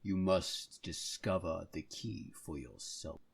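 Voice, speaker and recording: A man voicing a stern, commanding father god with a booming, severe delivery in an amateur radio play. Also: slow pace, gravelly, heavily acted.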